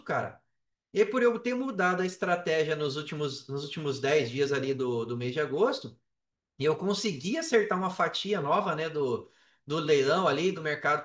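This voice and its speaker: A Brazilian man telling his story casually as a podcast guest, in an animated, slangy way. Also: high-pitched. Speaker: podcast guest, affiliate marketer. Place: indoors on an online call. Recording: roomy echo on a call.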